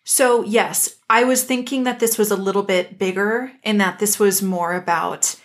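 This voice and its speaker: A woman with a medium-pitched voice chats as a podcast co-host. She is casual and opinionated, stressing her points with wry emphasis. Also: steady tone, moderate pace. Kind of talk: casual pop-culture discussion.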